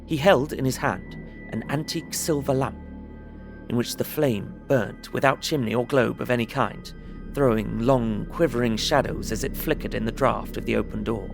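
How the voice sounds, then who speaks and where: A man playing an earnest young solicitor, narrating his travel diary in a measured, descriptive way for an audio drama. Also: mid-range voice, vocal fry, lightly acted. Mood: awed, watchful. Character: young English solicitor recounting his journey, earnest, observant. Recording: prominent music under voice. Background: music.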